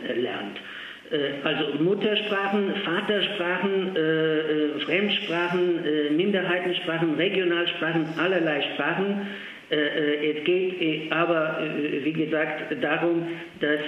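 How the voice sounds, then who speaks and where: Man, halting and full of uhs, tinny phone line, heavy echo. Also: a little high. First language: Polish. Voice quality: halting, many filled pauses. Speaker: interview guest, language centre official. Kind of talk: phone interview. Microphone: telephone.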